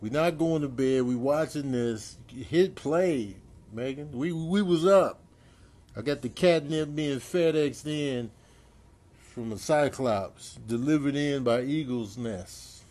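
A man's voice: medium pitch, slow pace, darkish tone, lightly acted. A man voicing a stubborn, sleepless cat who pleads insistently in slangy, drawling talk for a comedy animal voice-over. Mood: insistent, pleading.

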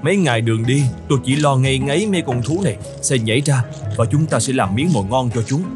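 A man voicing a weary, hungry and fearful caravan merchant in an animated fairy tale, complaining fretfully. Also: medium pitch, fast, over music, natural voice.

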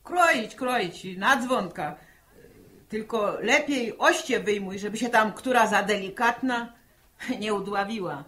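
An actress playing a sharp-tongued middle-aged village mother who nags in folksy rural phrases, in 1960s Polish radio drama style. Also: medium pitch, slow pace. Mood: bossy. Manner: nagging, exasperated, folksy rural turns of phrase.

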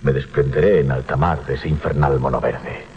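An actor from a Spanish radio drama playing a fearful, haunted sea captain, intense and theatrical, with a Spain accent. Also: male voice, rumbling low, fairly even intonation, normal speed, darkish tone. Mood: grim resolve. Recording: muffled 1980s radio drama recording.

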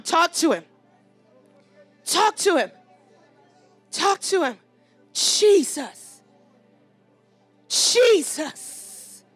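A woman's voice: high-pitched, a bit bright. A woman preacher leading fervent charismatic prayer, urgent and pleading, exhorting with repeated phrases.

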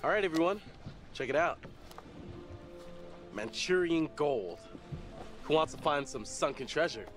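A man's voice: a little high, animated, unhurried, pauses often, fairly bright tone, soft background music. An actor playing an earnest, protective young male diver in a video game. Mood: excited. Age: young adult. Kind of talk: naturalistic video game acting.

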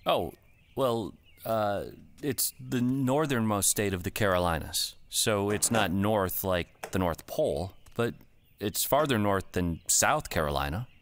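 A man playing a tired homeowner in a comedy radio play, answering in flat, put-upon replies. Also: on the low side, measured pace, a little hiss or hum. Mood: weary. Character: weary homeowner Frank, adult man.

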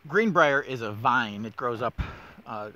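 A man's voice: medium pitch, animated, measured pace, sharp tone. A middle-aged man guiding a casual walk through winter woods, enthusiastic and thinking aloud with plenty of fillers. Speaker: woodland steward host. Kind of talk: casual nature walk guide.

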